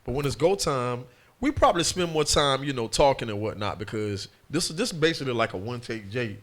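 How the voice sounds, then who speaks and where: A man's voice: mid-range voice, expressive, a little fast, fairly bright tone. A man, a music producer, talking in a laid-back casual interview and often tagging "and whatnot".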